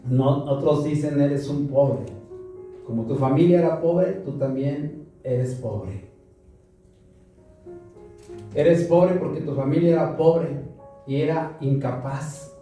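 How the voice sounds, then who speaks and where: A man's voice: fairly high pitch, slow, pauses often, dark tone, distant mic. A Mexican man preaching a sermon to a congregation, asking them questions and repeating them back. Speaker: pastor. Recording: roomy echo from afar, music behind.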